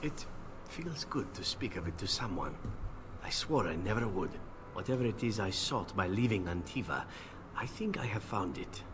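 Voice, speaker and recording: A weary elven assassin from a fantasy video game, acted by a man, speaks in a low voice. He is measured and solemn, confessional and bitter with guilt. Clear background ambience sits behind the words. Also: lively intonation, background noise, close to an everyday voice. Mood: relieved, sincere. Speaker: game companion character. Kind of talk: dramatic video game voice acting.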